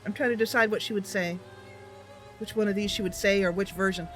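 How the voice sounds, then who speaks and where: A woman's voice: medium pitch, steady tone, a little fast, fairly bright tone, faint music behind, low-quality recording. A woman hosting a gaming channel, casually commentating on her roleplay, thinking aloud and explaining as she goes.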